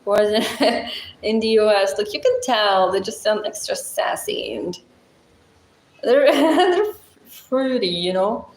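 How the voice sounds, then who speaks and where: Slow-talking Moroccan woman, chatty and blunt. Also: expressive, reverberant, poor audio. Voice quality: deep-voiced. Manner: chatty, blunt, laughs often, thinks aloud. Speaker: livestream host. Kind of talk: casual livestream chat reading comments.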